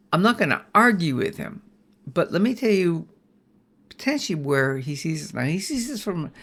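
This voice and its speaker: A man's voice: on the high side, fairly bright tone. An older man teaching a Bible study lesson, folksy and rambling, restarting phrases and checking in with "right?".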